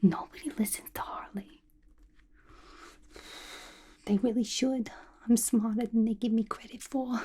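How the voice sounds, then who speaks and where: Woman playing a scatterbrained young villainess, soft breathy whisper, slow pace, clingy, gossipy, playful, slangy ASMR roleplay. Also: a little high, steady tone. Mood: confiding. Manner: chatty, playful, intimate, slangy, double-negative speech.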